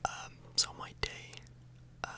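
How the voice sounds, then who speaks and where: Woman, whispered voice, soft and breathy, casual ASMR ramble, hesitant, self-correcting. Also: takes it slowly. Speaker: ASMR YouTuber, host. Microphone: microphone a little further away than usual.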